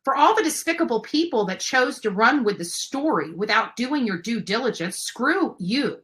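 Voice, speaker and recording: A woman hosting a livestream in a bright, sharp voice pitched on the high side. She rants with indignation, leaning hard on her words. Her delivery is confrontational and emphatic, and she is willing to swear. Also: animated, moderate pace. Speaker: livestream host. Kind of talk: impassioned livestream rant.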